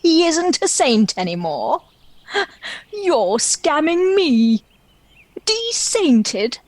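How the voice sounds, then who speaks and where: A woman's voice: high-pitched, sing-song, very slow, a little mellow, dry recording, exaggerated voice. A woman playing a proud, lonely, world-weary dragon in a comic play, with a theatrical, wry and indignant manner. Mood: indignant, gleeful. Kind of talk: dramatic monologue in a comic play.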